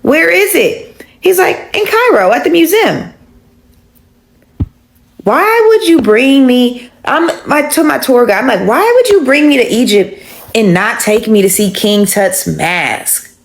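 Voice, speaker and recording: A woman's voice: a little high, a little slow, gravelly. A woman vlogger telling a casual, exasperated travel story and filling her speech with "like". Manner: animated, exasperated, heavy use of "like".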